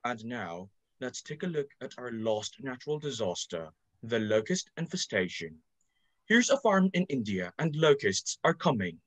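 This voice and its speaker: A student voicing a calm, formal documentary narrator in a school radio drama. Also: mid-range voice, moderate pace, dry recording, pitched below the performer's own voice.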